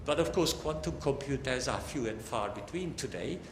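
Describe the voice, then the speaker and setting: Older man, academic lecturer, halting deliberate phrasing, reverberant hall, some background noise. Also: medium pitch, lively intonation.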